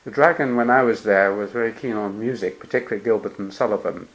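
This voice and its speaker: A man interviewing a guest, asking questions gently and filling pauses with murmured acknowledgements. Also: lowish voice, rough recording. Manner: gentle prompting with murmured "hmm" and soft laughs.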